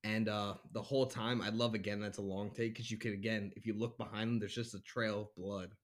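A man's voice: lowish voice, flat delivery, fairly quick. A man co-hosting a podcast, chatting casually about a TV episode in a joking way and acting out reactions. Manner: joking, mimics characters' reactions. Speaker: podcast co-host. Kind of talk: casual chat reviewing a TV episode.

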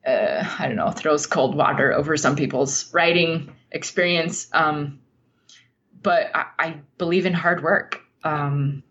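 Female interview guest, slightly low voice, unhurried and earnest, reflective storyteller, dull lo-fi sound. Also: fairly bright tone. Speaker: podcast guest, writer.

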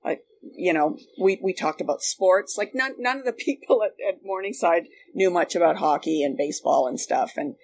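A woman with a Canadian accent chatting casually and telling stories in an animated way, as a guest looking back. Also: fairly low pitch, moderate pace, vocal fry. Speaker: guest, former radio worker. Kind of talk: casual reminiscing conversation. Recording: noticeable background noise.

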